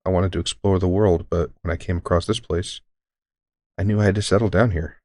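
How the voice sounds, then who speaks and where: A man playing a deranged centuries-old elf shopkeeper who is courteous, formal and unsettlingly calm, in an audio roleplay. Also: low voice, brisk, dry recording, clean studio sound, natural voice. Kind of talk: scripted audio roleplay addressing the listener.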